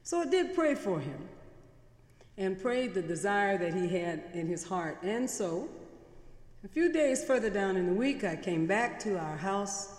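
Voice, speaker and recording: A woman's voice: medium pitch, big pitch swings, measured pace, a little mellow. A middle-aged woman pastor preaching a sermon, mixing conversational stories with emphatic, repeated phrases, from a pulpit mic in a large church. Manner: conversational storytelling, building emphatic repetition. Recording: reverberant church hall.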